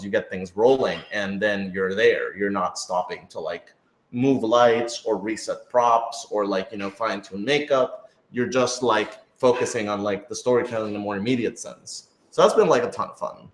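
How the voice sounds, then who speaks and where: A man's voice: fairly low pitch, moderate pace. A man talking casually and thoughtfully in a group discussion as a show's creator, hedging and often saying "like". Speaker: podcast creator and writer in a group Q&A. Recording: roomy, echoey room sound.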